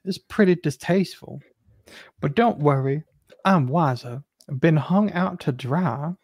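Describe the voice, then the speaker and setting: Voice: medium pitch, a little slow, darkish tone, husky, no echo. A reader with a dry comic delivery, moving between casual banter and dramatic email reading.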